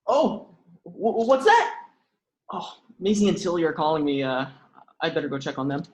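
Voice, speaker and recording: A woman's voice: on the low side, big pitch swings, moderate pace, roomy sound. A woman playing a good-natured, hesitant young college guy in a comedy table read. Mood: bewildered. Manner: hesitant, puzzled, earnest.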